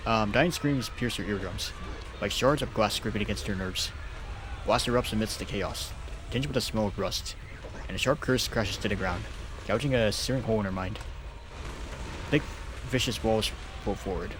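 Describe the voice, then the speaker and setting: A man's voice: fairly low pitch, moderate pace, long pauses, background noise. A man streaming a game, commenting casually and reading text aloud in an informal, rambling, jokey way. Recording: music behind the voice.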